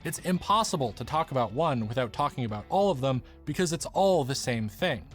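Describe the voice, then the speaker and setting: A man's voice: medium pitch, animated, fairly quick. Earnest male video essayist over music. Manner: earnest, articulate. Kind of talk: scripted documentary essay narration.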